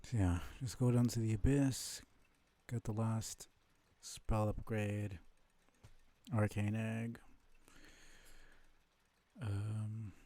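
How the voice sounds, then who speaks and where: Young adult male streamer, lowish pitch, relaxed mellow tone, unhurried casual gameplay commentary. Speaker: game streamer.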